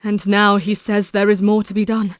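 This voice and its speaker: A woman's voice: medium pitch, level tone, moderate pace, natural voice. A woman acting in an audio drama as a resolute, grieving young Victorian woman who speaks earnestly and formally. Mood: somber.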